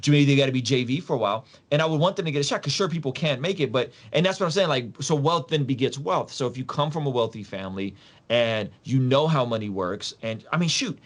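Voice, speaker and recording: A man's voice: mid-range voice, expressive, quick pace. A man from Soviet Russia, a podcast guest explaining things in an emphatic, casual, slangy way, repeating phrases and posing rhetorical questions.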